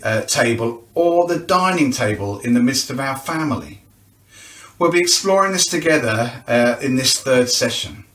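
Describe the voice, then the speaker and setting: A man with a fairly high-pitched voice speaks in a very echoey room. He presents like someone making an informal promotional video, chatty and self-deprecating, often putting questions to the listener. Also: pushed and bright, distant mic. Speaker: church event presenter. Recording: echoey, roomy sound.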